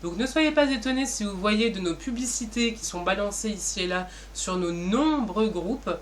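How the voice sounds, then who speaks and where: A woman's voice: medium pitch, lively intonation, normal speed. A woman co-presenting in a semi-formal, improvised way, explaining things directly to the viewers. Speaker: co-presenter explaining an online community. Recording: clear background noise and ambience.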